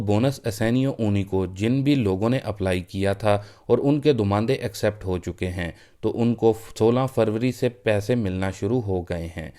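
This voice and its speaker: Low-voiced man reading news updates. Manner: addresses viewers as friends, steady news-reading delivery. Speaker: news presenter. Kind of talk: read news update in Urdu.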